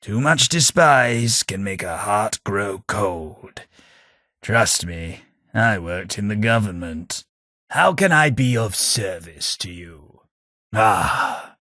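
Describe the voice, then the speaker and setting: A man voicing a posh, sardonic British gentleman companion in a game, with a British accent. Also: fairly low pitch, no room sound, exaggerated voice. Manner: dry, wry, posh and sardonic. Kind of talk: game voice lines.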